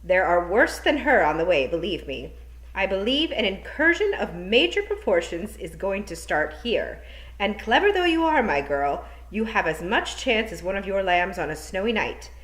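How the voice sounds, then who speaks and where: A woman reading as a sharp dry witch. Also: medium pitch, sing-song, moderate pace, voice pushed up. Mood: firm warning. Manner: crisp, dry and sardonic. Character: brisk sharp-tongued adult witch woman. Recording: roomy echo.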